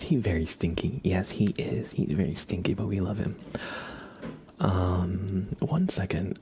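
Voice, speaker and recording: Male ASMR streamer, lowish voice, very slow and soft, frequent whispering, casual chat, close mic. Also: a little hiss or hum. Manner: soft-spoken, often dropping into whispers. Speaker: ASMR livestreamer, host.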